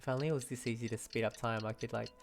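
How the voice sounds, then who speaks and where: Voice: mid-range voice, fairly even intonation, very fast, vocal fry, a little hiss or hum. A game streamer giving casual, informal gameplay commentary in a giggly manner.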